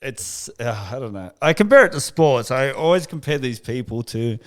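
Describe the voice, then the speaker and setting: A man's voice: medium pitch, normal speed. A man hosting a podcast, chatting casually and swearing often. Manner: animated, swears a lot, acts out people he mocks. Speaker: podcast host. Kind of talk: casual chat.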